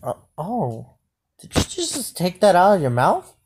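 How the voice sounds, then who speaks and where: A low-pitched woman plays through an improvised game roleplay, repeating words and hesitating as she goes. The recording is very noisy, with clicks. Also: huge pitch swings, measured pace. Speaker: gamer playing a Minecraft roleplay. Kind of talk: improvised roleplay gameplay commentary.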